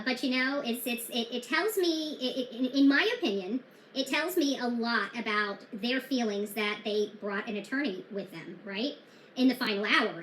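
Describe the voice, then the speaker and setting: A high-voiced woman talks straight to her viewers about true crime. She is blunt and defiant, full of fillers and restarts, and her bright voice rings in an echoey room. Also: slight background noise. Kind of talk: casual talk to viewers.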